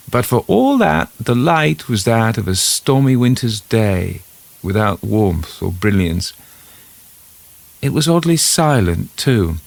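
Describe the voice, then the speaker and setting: Male narrator, very low voice, creaky and gravelly, measured literary storytelling, scripted first-person fiction. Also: no echo.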